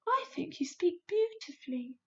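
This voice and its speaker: A woman playing a small, polite little girl who asks her questions in a breathy near-whisper, her voice pitched very high. The sound is muffled and lo-fi, like an old storybook tape. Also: very animated, moderate pace, heavily acted. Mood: curious. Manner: hushed, breathy. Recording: dull lo-fi recording.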